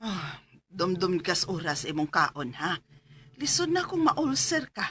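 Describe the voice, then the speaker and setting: Fussy Cebuano mom in radio drama: bright voice, lively swooping intonation, caring but naggy. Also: female voice, on the low side, unhurried, breathy edge, no echo, heavily acted. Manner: nagging, motherly, expressive. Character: fussy, caring, scolding mother of grown son. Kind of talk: Filipino radio drama acting.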